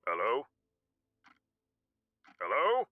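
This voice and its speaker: A man's voice: medium pitch, huge pitch swings, extremely slow, no room sound, phone-quality sound, full character voice. A man playing a pompous, scheming, impatient mayor in an audio drama, with a wordy, condescending manner.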